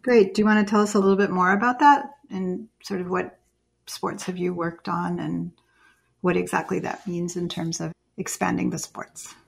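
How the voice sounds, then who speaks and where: A woman's voice: on the low side, fairly even intonation, normal speed, gravelly, slightly rough. A woman hosting a podcast, reading an intro and interviewing a guest in an encouraging way. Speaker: podcast host. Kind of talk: scripted intro and casual interviewing.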